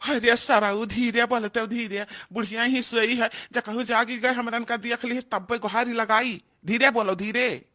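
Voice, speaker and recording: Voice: medium pitch, steady tone, normal speed, sharp tone, natural voice. A performer voicing a greedy, bossy male village thief in an Awadhi comedy cartoon, scolding, with an Awadhi accent. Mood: hushing, annoyed. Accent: Awadhi, eastern Uttar Pradesh.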